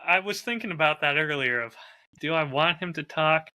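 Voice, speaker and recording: A man's voice: on the high side, moderate pace, sharp tone, clean tone, dry recording. A man talking casually as a host, showing off a bottle while recording on a close lav mic. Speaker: podcast host and whiskey audio drama creator.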